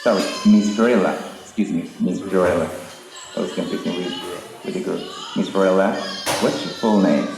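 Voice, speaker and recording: Ecuadorian English teacher as mock examiner, very low voice, unhurried, echoey classroom with faint music. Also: male voice, creaky. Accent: Ecuadorian Spanish. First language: Spanish. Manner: slow, deliberate, with hesitant fillers and small slips. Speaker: English teacher running a mock speaking exam. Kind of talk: exam examiner instructions.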